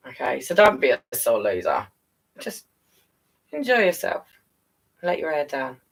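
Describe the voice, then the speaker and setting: British woman, very animated, chatting to viewers. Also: deep voice, unhurried, vocal fry, slightly rough, distant mic. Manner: affectionate, encouraging, calls listeners darling and guys. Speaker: storyteller hosting a live stream. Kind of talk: casual live-stream chat to viewers.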